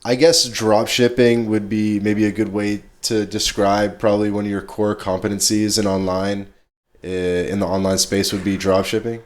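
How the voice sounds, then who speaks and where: A man's voice: lowish voice, little pitch movement, moderate pace. A man hosting a podcast in a casual, laid-back chat, talking in slangy everyday English. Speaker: podcast host.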